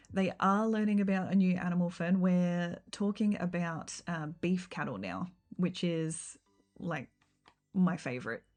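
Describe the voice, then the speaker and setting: A woman talks through her game build on a livestream, in a fairly low voice. It has a slight rough, croaky edge tonight. She chats in an easygoing, meandering way, full of ums and likes. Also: darkish tone. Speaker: game streamer. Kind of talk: casual livestream chat while building in a game.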